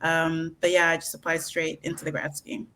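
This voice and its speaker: A woman's voice: low-pitched, monotone, normal speed, pushed and bright. A young woman introducing herself as a graduate trainee, hesitant, with many fillers and self-corrections. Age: young adult.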